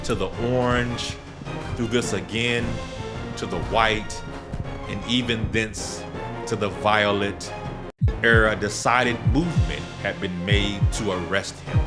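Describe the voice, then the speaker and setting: A man narrating a gothic horror tale in a dramatic, deliberate, scripted style. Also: on the low side. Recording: prominent music bed under narration. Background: music.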